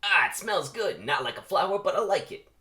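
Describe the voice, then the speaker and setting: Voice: medium pitch, animated, pushed and bright, voice pushed up. A reader doing a throaty laid-back bee. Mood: pleased. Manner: throaty, drawn-out delivery.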